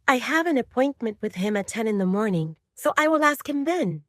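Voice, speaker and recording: A soft-spoken young heroine of a werewolf romance, played by a woman, hesitant and unsure of herself. Her voice sits high and swings expressively, recorded dry. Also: animated, a little fast, no echo. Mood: soft, tentative.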